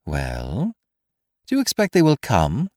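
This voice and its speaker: A man acts out a smug gentleman villain from a storybook. He taunts with theatrical courtesy and teasing mockery, his pitch swinging sharply up and down in a dry, close recording. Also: wildly swooping pitch, measured pace, dry recording. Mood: taunting, amused. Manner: mock-polite, theatrical, teasing.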